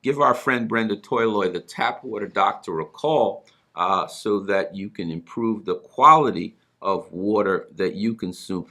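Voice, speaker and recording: A man with a fairly low voice hosts an informal talk broadcast. He moves through announcements and questions in deliberate, halting phrases, pausing as he goes. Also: pushed and bright. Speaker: broadcast host. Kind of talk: informal hosting, sponsor plugs and interviewing.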